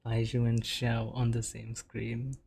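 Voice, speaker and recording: A woman's voice: a bass voice, completely flat delivery, measured pace, creaky, warm tone, husky. A woman game streamer giving casual reaction commentary as she plays, with game audio behind her. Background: game audio and music.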